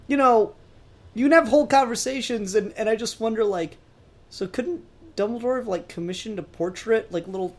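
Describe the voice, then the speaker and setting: A mid-pitched voice in a casual fan discussion. The co-host speaks informally and questioningly, backing up and restarting while working through a thought. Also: a little mellow. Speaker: podcast co-host.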